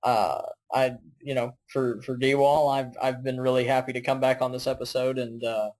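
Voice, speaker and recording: A man's voice: medium pitch, level tone, normal speed, darkish tone, low-quality recording. A man hosting a podcast, talking casually with a guest and with listeners. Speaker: podcast host. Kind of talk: casual interview chat.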